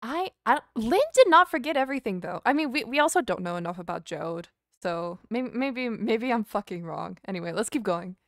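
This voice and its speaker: A woman's voice: a little high, expressive, fairly quick, hardly any pauses, no room sound, clean studio sound. A woman live streamer chatting casually over a game, rambling and hesitant, swearing now and then. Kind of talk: casual chat, game playthrough commentary. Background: game music.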